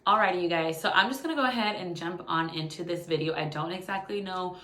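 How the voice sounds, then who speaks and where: A woman in her late twenties talks casually to her phone as a video blogger. Her voice sits a bit low for a woman, and the room adds a clear echo. Her delivery is informal and candid, like catching viewers up on her life. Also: fast talker, fairly bright tone, slight background noise. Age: late 20s. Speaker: YouTube vlogger. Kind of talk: casual personal update to camera. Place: living room by a window. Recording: echoey room sound on phone.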